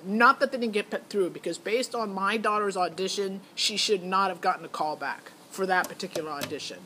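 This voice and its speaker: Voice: mid-range voice, brisk, bright, forceful tone. Rambling, candid parent over background noise. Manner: candid, rambling, quoting conversations. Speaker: parent reviewing a child's talent show audition. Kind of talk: casual spoken recap.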